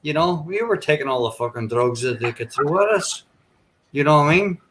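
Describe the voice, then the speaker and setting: A man's voice: on the high side, brisk, poor audio. A man in his forties, raised in Ireland, telling stories in a casual group chat and swearing freely. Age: 40s. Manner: swears freely, animated storyteller. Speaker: panelist.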